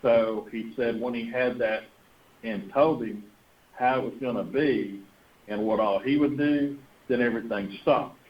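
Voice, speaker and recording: A man's voice: mid-range voice, fairly even intonation, measured pace. Folksy man on a lo-fi phone call. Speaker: host of a conference call, pastor. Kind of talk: earnest conversational monologue and storytelling. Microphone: telephone. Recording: dull, lo-fi conference phone call.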